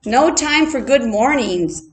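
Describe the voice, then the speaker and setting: A woman voicing an excitable female prairie chicken in a storybook, urgent, folksy and sing-song as she sounds the alarm. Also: on the high side, takes it slowly.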